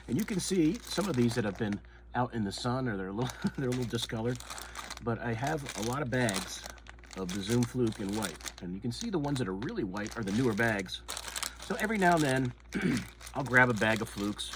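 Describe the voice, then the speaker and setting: A man hosting his own fishing channel, talking casually and a bit nasally through a head cold, rambling and repeating himself with lots of ums. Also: mid-range voice. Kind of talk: casual show-and-tell chat. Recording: noise with crinkling plastic bags. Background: crinkling soft-plastic bait bags.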